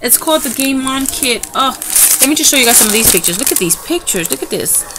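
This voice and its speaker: A woman's voice: sits high, lively intonation, quick pace. A middle-aged woman chatting casually and gushing as she shows off craft supplies in a home video. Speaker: craft vlogger. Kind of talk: casual show-and-tell unboxing. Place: home room by a window. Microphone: camera in front of her, hands in frame. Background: TV and children's noise.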